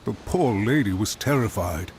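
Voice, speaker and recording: A haughty clan official in a video game, self-righteous and scheming. His delivery is pompous and theatrical, with pitch that rises and falls dramatically. The recording has a faint noise behind it. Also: lively intonation, moderate pace, a little mellow. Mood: indignant, pompous. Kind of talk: scripted video game voice acting.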